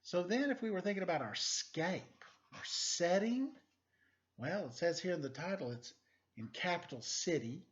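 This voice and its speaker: A man's voice: high-pitched, animated, normal speed, lo-fi sound. A middle-aged man reading a story aloud for children in a playful, quizzing manner. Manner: playful, quizzing young listeners. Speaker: story-time teacher reading a picture book aloud.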